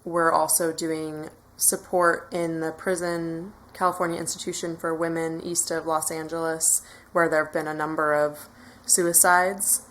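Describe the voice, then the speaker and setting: A woman speaks as a volunteer interview guest in a low-pitched voice. She explains step by step in informal language. There is faint noise behind her. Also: flat delivery, unhurried, a bit bright.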